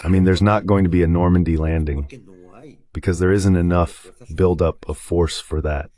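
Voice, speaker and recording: A man's voice: deep voice, fairly even intonation, moderate pace, dark tone. A man giving conversational political analysis as a guest on a talk show.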